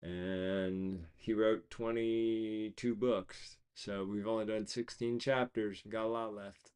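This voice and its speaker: A man hosting a casual livestream, rambling with many fillers and restarts, his voice gone hoarse. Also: lowish voice, fairly even intonation, slow, a little mellow. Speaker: host of an online reading series.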